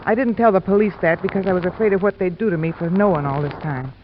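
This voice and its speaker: An anxious, secretive widow played by a woman confides in a hesitant, urgent way, in old radio drama style. The recording is muffled and clearly noisy. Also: lowish voice, fast talker, natural voice. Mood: nervous, confessing. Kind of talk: 1940s-50s radio drama acting.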